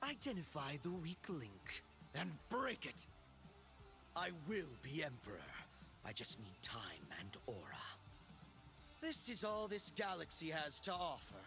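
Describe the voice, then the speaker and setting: An actor playing an arrogant, power-hungry young would-be emperor in a video game, cocky, sarcastic and imperious. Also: male voice, fairly high pitch, big pitch swings, unhurried. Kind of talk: video game character voice lines. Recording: game music behind voice.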